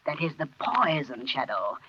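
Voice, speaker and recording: Unhinged, gloating poisoner for 1930s radio play; very high voice, muffled vintage recording. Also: male voice, animated, normal speed, husky. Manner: theatrical, gloating, cackling. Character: gleefully insane male poisoner, a laboratory worker. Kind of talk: 1930s radio drama acting.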